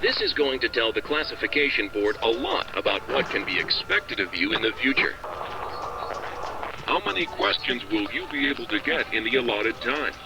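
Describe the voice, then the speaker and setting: An actor playing a taunting ghostly entity that talks like an old military radio announcer, clipped, commanding and mockingly playful. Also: male voice, mid-range voice, animated, moderate pace, over music, natural voice, low-quality recording. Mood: mocking, official. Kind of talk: 1940s radio announcer acting, quiz-master delivery.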